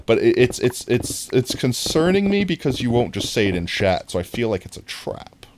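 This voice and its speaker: American live game streamer, casual and jokey, very animated pitch, some noise and clicking behind. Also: moderate pace. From New York, United States. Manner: playful, jokey, giggly. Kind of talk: casual gaming stream chat.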